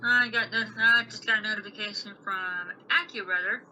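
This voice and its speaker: A woman's voice: mid-range voice, steady tone, moderate pace, bright, forceful tone, distant mic, a little hiss or hum, rough recording. A woman making casual, off-the-cuff banter as a companion chiming in on a video.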